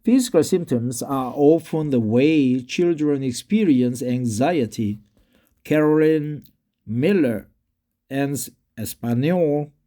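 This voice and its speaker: Man, Korean accent, slightly tipsy, halting speech, lots of fillers, very slow pace. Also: medium pitch, dark tone. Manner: halting, many drawn-out fillers. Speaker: English learner vlogging. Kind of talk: casual talk and reading aloud.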